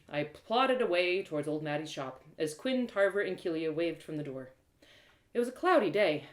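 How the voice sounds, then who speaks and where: Animated woman storyteller in an echoey room. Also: lowish voice. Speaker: storyteller reading a story aloud. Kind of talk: expressive scripted first-person narration. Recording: roomy, echoing live reading.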